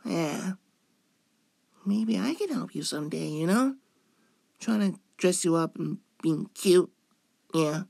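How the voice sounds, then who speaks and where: A man's voice: high voice, expressive, slow, creaky, pauses often, a little mellow, no room sound, clean studio sound, exaggerated voice. A young man playing a cute, shy, playful femboy in an ASMR roleplay, coy and hesitant, drawing out his words. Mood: soft, pleased.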